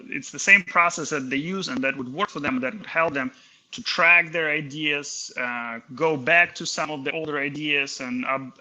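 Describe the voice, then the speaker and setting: Inventor guest, a man from Kazakhstan in his 30s; very bright voice, stop-start and hesitant. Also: medium pitch, poor audio. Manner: hesitant, many fillers and restarts. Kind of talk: casual interview talk.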